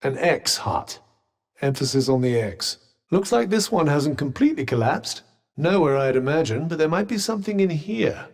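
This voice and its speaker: A man's voice: a little high, big pitch swings, moderate pace, in a large hall. A man voicing a sardonic, witty male talking bracelet in a video game, with dry, teasing quips.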